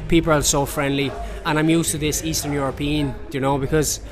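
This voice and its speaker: A man's voice: on the high side, brisk. A young man raised in Ireland after a childhood in Romania, talking energetically in a post-fight interview at a noisy event. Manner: energetic, chatty, repeats words. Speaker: MMA fighter. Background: crowd chatter.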